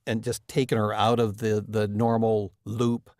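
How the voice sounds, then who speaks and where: A man giving a reflective interview about drama characters, halting as he searches for words. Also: fairly low pitch, level tone, darkish tone, no room sound, studio quality.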